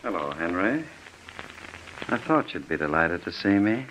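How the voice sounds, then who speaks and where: An actor playing a bitter, gray-haired ex-convict with a deliberate, menacingly polite manner, in old radio drama style. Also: male voice, low voice, sing-song, a bit bright, full character voice. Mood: coldly sardonic. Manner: deliberate, sardonic. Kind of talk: 1940s radio drama acting. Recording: noisy old radio recording.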